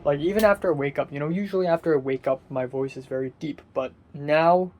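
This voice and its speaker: Young man, raspy muffled voice, hesitant rambling, lots of ums, slightly high pitch. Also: fast talker, darkish tone, slight background noise. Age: young adult. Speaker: vlogger. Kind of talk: casual diary vlog.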